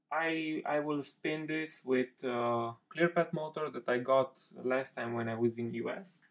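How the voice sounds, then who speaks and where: Gravelly Romanian man explaining in his workshop. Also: medium pitch. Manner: hesitant, self-correcting, many fillers. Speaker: maker vlogger. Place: home workshop with pegboard and bench.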